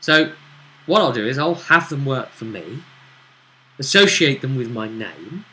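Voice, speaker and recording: Bright-voiced man, explaining game. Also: medium pitch, big pitch swings, measured pace, low-quality recording. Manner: explanatory, checking with tag questions. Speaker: game master and show host. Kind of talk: recapping a tabletop role-playing session conversationally.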